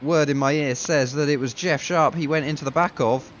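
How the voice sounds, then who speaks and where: A man with a self-mocking, casual manner commentates a league sim race, a bit ill with a deeper voice than usual. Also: fairly even intonation, brisk, muffled recording, a little hiss or hum.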